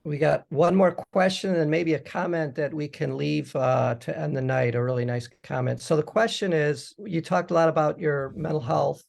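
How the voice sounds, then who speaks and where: Interviewer guy, kinda high gravelly voice, reading off viewer questions. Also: normal speed, hardly any pauses, a little mellow. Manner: reads viewer comments and questions.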